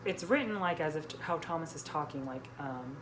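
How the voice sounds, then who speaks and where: A woman's voice: sits low, expressive, fairly quick, crowd noise. A woman hosting a casual book vlog, chatting informally and peppering her sentences with "like". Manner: frequent "like" as filler. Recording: noisy room background.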